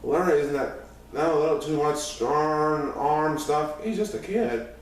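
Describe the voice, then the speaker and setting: A man's voice: on the high side, measured pace, lightly acted. Man reading as a scolding teenage girl, echoey. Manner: chiding, snapping back. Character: pretty, sensible, scolding teenage girl Megan. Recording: very echoey room, distant mic, faint noise.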